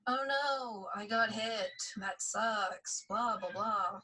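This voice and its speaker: A woman's voice: medium pitch, slow pace, pushed and bright, rough recording. A woman acting a sarcastic, sulky preteen superhero girl in a scripted audio drama.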